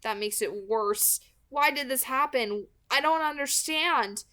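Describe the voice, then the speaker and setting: A woman's voice: sits high, unhurried, bright, forceful tone, voice pushed up. A woman voicing a distraught, stubborn teenage boy in an audiobook, emphatic and pleading. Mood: anxious, upset.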